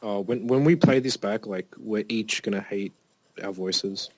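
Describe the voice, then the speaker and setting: A man's voice: fairly low pitch, a little slow, poor audio. A teenage man co-hosting a casual podcast, laid-back and dropping dry one-liners. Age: teens. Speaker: podcast co-host. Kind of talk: casual chat. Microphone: Skype call recording.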